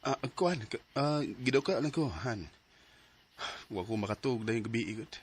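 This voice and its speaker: A man's voice: medium pitch, animated, normal speed, breathy edge. An actor playing a hesitant, stammering young man from Cebu in a radio drama. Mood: flustered. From Cebu, Philippines. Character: young man, torn between love and money.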